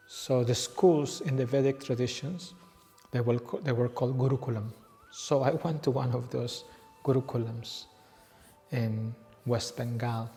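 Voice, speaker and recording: A man in his forties, originally from Peru, a yoga teacher telling his story in a slow, reflective way with frequent pauses. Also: medium pitch, gravelly. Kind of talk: personal storytelling. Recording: reverberant room with soft background music.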